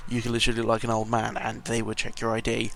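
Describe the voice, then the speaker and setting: A man's voice: medium pitch, completely flat delivery, quick pace, vocal fry, fairly bright tone. A seventeen-year-old British young man rambling casually through an audio log, hesitant and tangential with mild swearing, sounding a bit under the weather. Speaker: vlogger. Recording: noisy home microphone recording.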